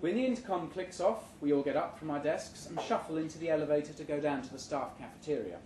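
Male live story reader, slightly high pitch, dry measured narration, echoey indoor venue. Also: darkish tone. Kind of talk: live short-story reading, first-person narration. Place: indoor live reading event before an audience. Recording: roomy live venue, background noise.

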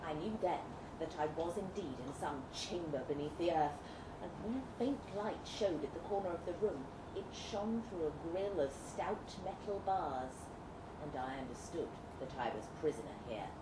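A woman giving a measured, suspenseful, theatrical reading of a Gothic Victorian melodrama. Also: sits low, expressive. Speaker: reader performing a short story at a live event. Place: indoor pub event space, live reading. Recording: echoey room, distant mic, clear background noise.

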